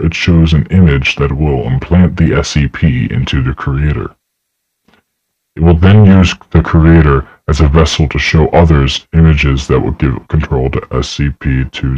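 A man playing a grave research director, narrating a horror case file in a formal, measured way. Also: extremely low pitch, darkish tone, breathy edge, dry recording, highs cut off, exaggerated voice. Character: grave male research director logging a dangerous entity.

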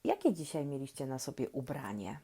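A woman who hosts and reads stories for young children, gently and playfully, asking questions and leaving expressive pauses. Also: rumbling low, breathy edge. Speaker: children's story reader and host. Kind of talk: reading aloud to young children, talking to the listeners.

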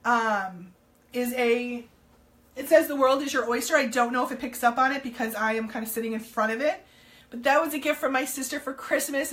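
A woman, slightly hoarse, chatting casually with viewers while selling pearls on a home live stream. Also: a little high, a bit bright. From New Jersey, US. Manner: chatty, upbeat, many ums, greets viewers. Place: home room, indoors.

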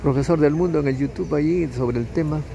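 A male interviewer with a slightly high voice asks questions outdoors. He talks hesitantly with many fillers. Clear street noise runs behind him. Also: brisk, warm tone, lo-fi sound. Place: outdoor university campus. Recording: noisy outdoor street sound.

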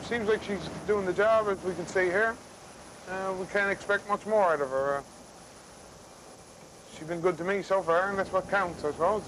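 A middle-aged male skipper talks in a Newfoundland accent, high in pitch. He is understated and unscripted, with faint engine noise in the wheelhouse behind him. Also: steady tone, normal speed, long pauses. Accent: Newfoundland and Labrador. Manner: plain-spoken, understated. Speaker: tugboat skipper. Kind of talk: casual, unscripted talk. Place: tugboat wheelhouse at sea.

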